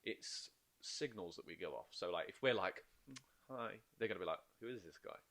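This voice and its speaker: A man's voice: low voice, normal speed. A man chatting on a podcast as a life coach, explaining eagerly and acting out inner voices. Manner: animated, explains in bursts with quoted inner voices. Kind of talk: casual podcast chat.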